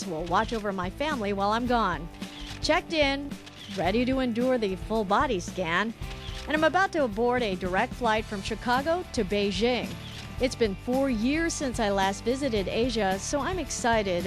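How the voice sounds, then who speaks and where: A woman's voice: fairly high pitch, animated, moderate pace. Upbeat woman narrating over music. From Hong Kong. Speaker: travel show host and reporter. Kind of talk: scripted travel narration.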